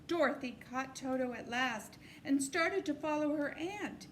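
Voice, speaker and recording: A woman reads a children's novel aloud as an expressive storyteller. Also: sits high, measured pace, slight background noise. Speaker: storybook reader.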